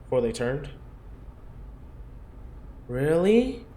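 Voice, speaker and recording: A man's voice: on the high side, very animated, drawn-out words, dark tone, full character voice. A man reading a visual novel aloud as an anxious, hesitant person confiding in a medic. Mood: worried, surprised.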